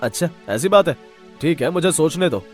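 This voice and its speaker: A man's voice: fairly high pitch, lively intonation, moderate pace, faint music behind, lightly acted. A man voicing a stubborn, brash village freeloader in a cartoon story, arguing in exclamations. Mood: indignant. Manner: argumentative, exclamatory.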